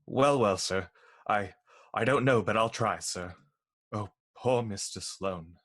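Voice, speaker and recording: A man acting a flustered household butler in a radio mystery, husky and deferential with a faltering delivery. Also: on the low side, takes it slowly, a bit bright. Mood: flustered, sorrowful.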